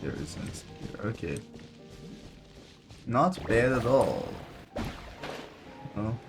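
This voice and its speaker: Unhurried man commenting over loud game music. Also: lowish voice, very animated. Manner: exclamatory, thinking aloud. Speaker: gaming streamer. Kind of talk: casual gameplay commentary.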